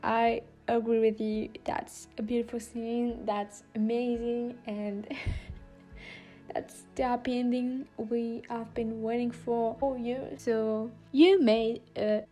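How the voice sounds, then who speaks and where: A woman's voice: on the high side, level tone, slow pace, dark tone, soft background music. A woman with a girlish voice hosting a fan video, chatting nervously and enthusiastically, with frequent giggles. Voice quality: girlish, childlike voice. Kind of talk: casual fan commentary.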